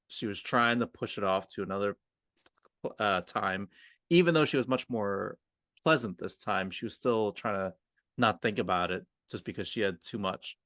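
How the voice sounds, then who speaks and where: A man's voice: lowish voice, fairly bright tone, no echo, clean studio sound. A man co-hosting a podcast as a communication coach, talking off the cuff and explaining points with example dialogue. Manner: explanatory, often illustrates points with hypothetical quoted dialogue. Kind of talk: unscripted coaching discussion.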